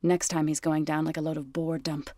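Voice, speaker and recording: Low-pitched, earnest warrior woman. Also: little pitch movement, fairly quick, a little mellow, dry recording, studio quality. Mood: earnest, steady. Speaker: video game character. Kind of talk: scripted video game dialogue.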